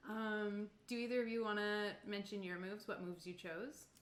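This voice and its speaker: A woman's voice: mid-range voice, steady tone, moderate pace. A woman chatting casually and encouragingly as she leads a tabletop game, enthusiastic about the other players' ideas. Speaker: game keeper leading the session. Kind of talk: casual chat. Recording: roomy echo, faint background noise.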